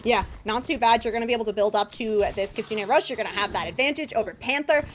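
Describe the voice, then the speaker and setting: High-pitched woman analyzing live esports. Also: fast talker. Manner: conversational, explanatory. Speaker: esports commentator. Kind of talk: analytical live game commentary.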